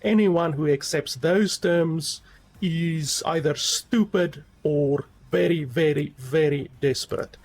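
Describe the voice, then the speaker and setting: A man's voice: a little high, slow pace, darkish tone. A man giving a rambling, casual game review, with many fillers and emphatic repeats.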